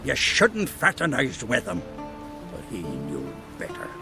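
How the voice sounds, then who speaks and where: An actor playing a plain-spoken, middle-aged village father in a video game, folksy and emphatic, with a creaky voice. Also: medium pitch, huge pitch swings, a little slow. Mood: fretful, fond. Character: plain-spoken village father, fretful and fond of his son. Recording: music behind the voice. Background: game music.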